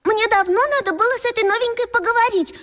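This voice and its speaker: Cast: a prim schoolgirl in a radio play, very high and whiny. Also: steady tone, fast talker, a bit bright. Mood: pleading. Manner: pleading, whiny. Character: prim schoolgirl class monitor, pleading with her mother.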